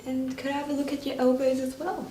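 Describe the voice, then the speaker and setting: Female clinical examiner, slightly high voice, courteous instructions; noisy recording. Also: little pitch movement, fast talker, dark tone. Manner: polite, courteous. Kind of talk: step-by-step patient examination instructions. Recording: echoey, distant mic, noisy background.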